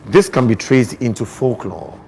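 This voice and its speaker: A Ghanaian man explaining things as a guest in a TV interview, expressive and deliberate, often posing rhetorical questions. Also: medium pitch, vocal fry, a little hiss or hum. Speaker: guest poet and storyteller.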